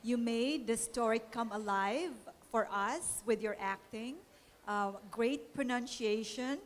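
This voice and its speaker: A woman giving encouraging, measured, unscripted feedback as a contest judge. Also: a little high. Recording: reverberant hall, faint noise.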